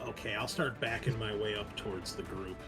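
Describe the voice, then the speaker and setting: A man's voice: lowish voice, steady tone, brisk. A man chatting casually as a player in a tabletop game, with a jokey, wry manner. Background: game music.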